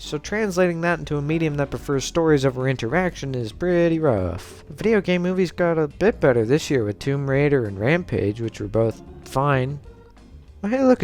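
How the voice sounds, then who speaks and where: A man with a slightly high voice delivers casual scripted commentary. He is informal and sarcastic, talking like a video essayist. Music plays faintly behind him. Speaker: host of a video essay series. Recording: background music under voice.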